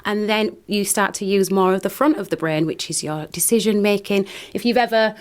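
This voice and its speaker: A woman's voice: mid-range voice, a little fast. Earnest Northern English woman on radio. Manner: earnest, hesitant with many restarts. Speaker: studio guest, founder. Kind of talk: radio interview. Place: radio studio.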